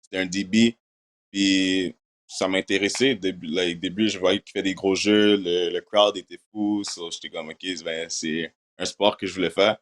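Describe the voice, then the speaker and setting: A man's voice: sits low, flat delivery, moderate pace, a bit bright. A young man from Quebec whose first language is English, answering interview questions in casual French sprinkled with English words. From Quebec, Canada. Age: young adult. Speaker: university football player.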